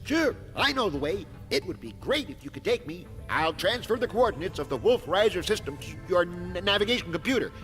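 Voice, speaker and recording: A man's voice: high-pitched, very animated, a little fast, hardly any pauses, a bit bright, faint music behind. A man playing an eager, polite scientist in a video game. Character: eager, helpful male scientist in a hurry. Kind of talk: scripted video game voice acting.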